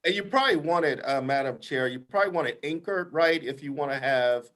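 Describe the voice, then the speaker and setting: A man's voice: a little high, fairly quick, a bit bright. A man with a big voice advising a council in a meeting over a video call, talking emphatically, often ending points with "right?" and restarting his sentences. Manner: emphatic, repeats "right?" as a tag, many restarts. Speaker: invited expert advising the council. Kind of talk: meeting discussion.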